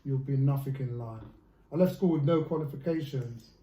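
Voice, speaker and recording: A man coaching a small group, upbeat and playful and fond of teasing himself. His voice is mellow and relaxed, and the room around him is echoey. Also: mid-range voice, a little fast. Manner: upbeat, joking and self-mocking. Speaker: life coach. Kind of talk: friendly coaching session.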